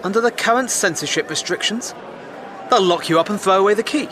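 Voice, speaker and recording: An actor playing a polite, educated English war correspondent, speaking in a measured, formal manner in an audio drama. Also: male voice, sits high, a crowd in the background, background noise, natural voice. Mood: measured, inquiring.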